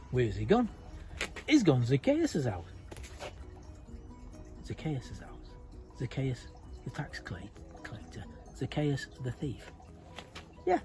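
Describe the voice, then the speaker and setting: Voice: mid-range voice, warm tone, pitched above the performer's own voice. A storyteller voicing self-righteous townsfolk who gossip indignantly.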